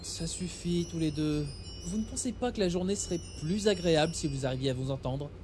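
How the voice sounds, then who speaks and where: A man playing a calm, kindly spaceship engineer who sounds reasonable, in an audio drama. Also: fairly high pitch, a little fast, music in the background, close to an everyday voice. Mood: calm reproof.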